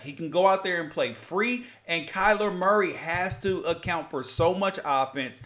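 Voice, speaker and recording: An American man hosting a sports podcast, arguing his opinions emphatically in lively debate with a guest. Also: high-pitched.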